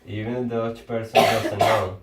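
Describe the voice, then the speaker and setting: A Venezuelan man, a Spanish speaker and engineer, in casual conversation, talking haltingly and often restarting words as he searches for his phrasing. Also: fairly low pitch, flat delivery, over a noisy crowd. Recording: echoey room, far from the mic.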